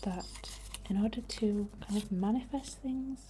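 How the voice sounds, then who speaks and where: A British woman whispering in a breathy, soothing, reassuring way, as an ASMR host leading a healing ritual. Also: mid-range voice, a little slow, warm tone, slight background noise. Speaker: ASMR host, spiritual healer.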